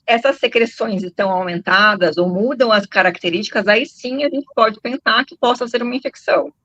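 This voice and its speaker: A woman's voice: on the high side, a little fast, fairly bright tone, dry recording, lo-fi sound. A woman gynecologist from São Paulo state, explaining a medical topic and tagging phrases with "né", heard over a video call. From São Paulo state, Brazil. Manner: explanatory, frequent "né" tags. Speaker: gynecologist guest.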